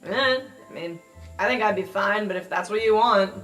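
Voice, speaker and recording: A woman's voice: medium pitch, normal speed, fairly bright tone. A woman playing in a tabletop roleplaying podcast, improvising in casual conversation. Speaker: player on a game podcast. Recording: distant mic, music behind.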